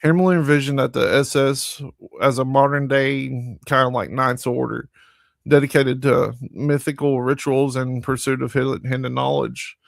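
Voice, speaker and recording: A man reading his notes aloud on a podcast. His voice has a gravelly fry running through it, and the recording is close and dry. He trips over a word now and then and keeps going without fuss. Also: mid-range voice, fairly even intonation, moderate pace, no echo. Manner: stumbling over words, laughs at himself. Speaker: podcast co-host. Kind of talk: casual chat reading from notes.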